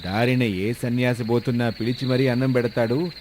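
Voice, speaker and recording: A man's voice: mid-range voice, fairly even intonation, moderate pace, darkish tone, slight background noise, lightly acted. A man playing an arrogant, stingy landlord who berates people haughtily in a cartoon moral story. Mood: angry, dismissive. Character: arrogant, stingy, scolding rich male landlord.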